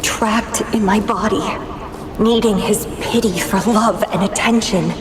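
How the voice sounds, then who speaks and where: An actress playing a brooding, intense young woman warrior in a video game, delivering a deliberate, introspective, melancholy monologue. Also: medium pitch, steady tone, background noise, close to an everyday voice. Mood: bitter, sorrowful. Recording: echoey long reverb, loud music. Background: loud game soundtrack music.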